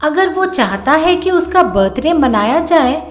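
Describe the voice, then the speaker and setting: A woman's voice: high voice, big pitch swings, a little slow, echoey room, voice pushed up. A woman voicing a cheerful, kind-hearted, naive young daughter-in-law in a storybook cartoon, explaining things sweetly and earnestly. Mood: earnest, pitying. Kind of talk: animated storybook voice acting.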